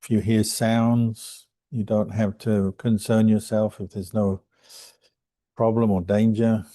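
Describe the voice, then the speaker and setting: A man guiding a meditation as a monk and teacher, speaking calmly and gently with unhurried pauses between phrases. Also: on the low side, no room sound. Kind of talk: guided meditation instruction.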